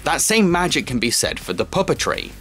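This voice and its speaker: A man's voice: fairly high pitch, sing-song, a little fast, pushed and bright. A young man narrating a scripted review in an enthusiastic, emphatic manner. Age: young adult. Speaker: film reviewer, video host. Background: background music.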